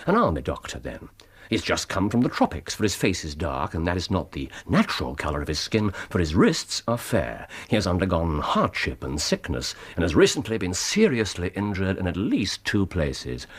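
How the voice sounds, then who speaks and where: Brilliant cocky detective for radio drama, low husky voice, swoops about while explaining everything precisely. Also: male voice, exaggerated voice. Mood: brisk, lecturing. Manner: precise, self-assured, lecturing. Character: brilliant, vain, analytical male consulting detective.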